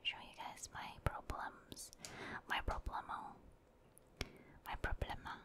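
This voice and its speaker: A woman whose native language is Filipino, whispering breathily as she comments on a game for ASMR, musing aloud and softly repeating short phrases. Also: slow pace. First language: Filipino (Tagalog). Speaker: ASMR gaming video creator.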